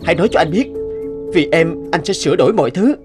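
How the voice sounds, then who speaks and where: A rugged young hunter in a fairy-tale cartoon played by a man. His voice is somewhat high for a man, devoted and romantic. Music plays behind him. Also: lively intonation, quick pace, lightly acted. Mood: earnest, pleading. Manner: earnest, romantic.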